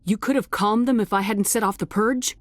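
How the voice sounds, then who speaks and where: An actress playing a resolute military commander, direct and firm, in a dramatic video game. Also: female voice, mid-range voice, brisk, natural voice. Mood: firm, questioning.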